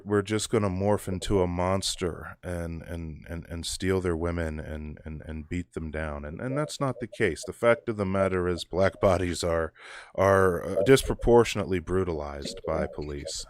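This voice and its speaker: A young man with a very deep voice talking casually as a guest, thoughtful and halting with frequent restarts. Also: no echo, clean studio sound. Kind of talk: casual conversation.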